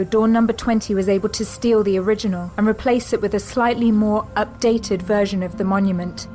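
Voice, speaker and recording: A woman giving steady, scripted narration for an audio drama. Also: mid-range voice. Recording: narration over music score.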